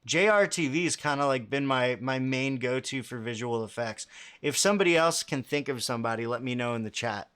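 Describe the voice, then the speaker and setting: Man, low bassy voice, casual livestream host, halting speech with fillers. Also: fairly bright tone, smooth. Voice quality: fairly low voice with a lot of bass. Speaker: livestream host answering chat questions.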